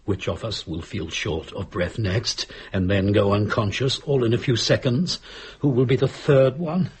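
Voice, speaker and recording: A man's voice: fairly low pitch, normal speed, a little hiss or hum, natural voice. An actor playing an anxious, brooding, guilt-ridden British man in a radio drama, earnest and fretful. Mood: dread.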